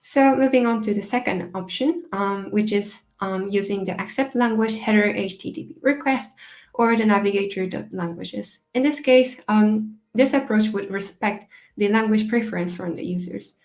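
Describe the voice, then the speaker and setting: A woman's voice: medium pitch, normal speed, a little mellow. A woman whose first language is Japanese, giving a technical conference talk in a hesitant way with many fillers and restarts. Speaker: conference speaker.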